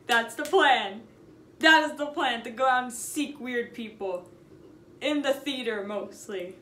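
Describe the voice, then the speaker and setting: A young woman casually telling an anecdote in a chatty, animated, sarcastic way, stretching words for emphasis. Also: a little high, slow pace. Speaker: storytime vlogger. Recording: roomy echo, noticeable background noise.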